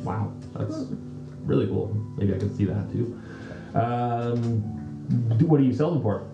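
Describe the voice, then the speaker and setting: A man playing in a tabletop RPG podcast, chatting casually in character with a hesitant, halting delivery. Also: medium pitch, expressive. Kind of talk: casual in-character game chat. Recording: roomy, muffled, music behind. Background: background music.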